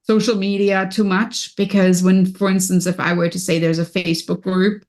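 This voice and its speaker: A woman with a German accent, speaking as a coach and podcast guest in an energetic, upbeat way. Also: on the low side, moderate pace. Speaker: business and wellness coach, guest. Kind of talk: podcast interview.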